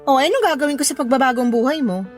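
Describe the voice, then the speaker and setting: A woman's voice: sits high, fast talker, faint music behind, natural voice. A woman acting as a fed-up, scornful young mother scolding her ex in a Filipino radio drama. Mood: angry, bitter. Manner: sharp, scolding, sarcastic.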